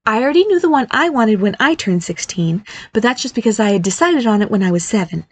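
A woman voicing an upbeat, earnest fairy mother in a storybook, coaxing her children and gently scolding them. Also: fairly high pitch, animated, fast talker. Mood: cheerful, coaxing. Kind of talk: storybook character voice.

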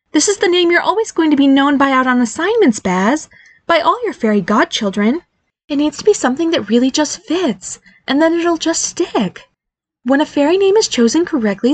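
An upbeat fairy mother from a storybook , as a woman reader puts her on, earnest and firm with her children. She coaxes and gently scolds in a raised pitch, recorded dry and close. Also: normal speed, dry recording. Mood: stern, earnest. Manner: excited, coaxing, gently scolding. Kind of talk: storybook character voice.

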